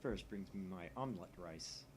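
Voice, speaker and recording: A man's voice: low voice, a little fast, very noisy. A man hosting a gaming channel, reading a visual novel aloud with casual asides.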